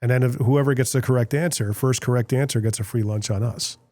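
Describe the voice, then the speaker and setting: A man's voice: mid-range voice, fairly even intonation, fast talker, darkish tone, no room sound, clean studio sound. A man hosting a business podcast, talking casually and rambling with lots of restarts. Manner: chatty, rambling with many restarts. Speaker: podcast host. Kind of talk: casual business interview chat.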